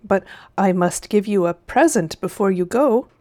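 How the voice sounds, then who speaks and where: A woman's voice: medium pitch, animated, normal speed, darkish tone, lightly acted. Storybook villainous old uncle, played by a woman: cunning, smug, coaxing, genteel and condescending. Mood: wheedling, self-pitying. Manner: wheedling, condescending, old-fashioned genteel phrasing. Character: cunning, smug old gentleman uncle, falsely sweet and sly. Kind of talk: storybook character voice.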